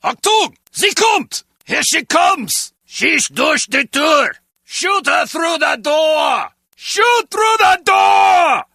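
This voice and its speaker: A man's voice: very high-pitched, big pitch swings, extremely slow, bright, forceful tone, dry recording, raised voice, studio quality, heavily acted. An actor playing an earnest, pedantic German henchman in a video game, with a German accent. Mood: alarmed shouting. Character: philosophical German henchman guard, earnest and excitable. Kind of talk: video game character dialogue.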